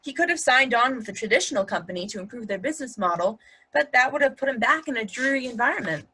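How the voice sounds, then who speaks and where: Dry-witted, loyal, blunt woman played by a female actor, pointed delivery, high, forceful, slightly husky voice. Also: animated, fast talker, heavily acted, poor audio. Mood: insistent. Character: blunt, dry-witted woman fiercely defending her partner. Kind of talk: virtual table read of a stage comedy-drama.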